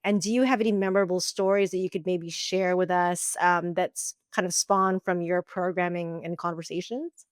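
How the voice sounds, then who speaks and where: Lower-pitched woman podcast host. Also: steady tone, moderate pace, few pauses, clean tone, no room sound, studio quality. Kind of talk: interview questions.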